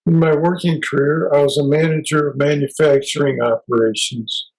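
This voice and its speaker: A man's voice: on the high side, fairly even intonation, moderate pace, a little mellow, poor audio. A man in his seventies introducing himself in a plain, matter-of-fact way. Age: 70s. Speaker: group participant. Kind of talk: self-introduction.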